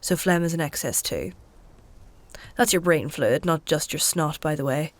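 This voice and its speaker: Weary healer, lowish pitch, wry, coaxing, matter-of-fact, dark fantasy audio drama. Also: female voice, animated, moderate pace. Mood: brisk, puzzled. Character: weary, dry-witted woman healer tending a dying stranger.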